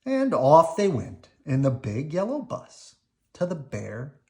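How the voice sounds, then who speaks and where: A woman reading a children's storybook aloud in an animated, unhurried way for young listeners. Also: deep voice. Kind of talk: expressive read-aloud storytelling.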